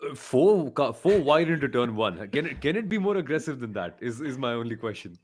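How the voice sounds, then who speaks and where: Expressive man hosting, swooping intonation. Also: mid-range voice, fairly quick, a little hiss or hum. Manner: emphatic, repeats points for weight. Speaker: podcast host reading audience comments. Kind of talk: casual motorsport discussion.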